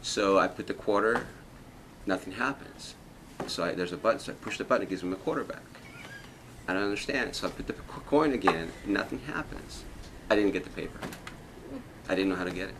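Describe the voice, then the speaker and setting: A man from Ecuador telling stories in a relaxed documentary interview, often saying "you know". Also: low voice, brisk, background noise.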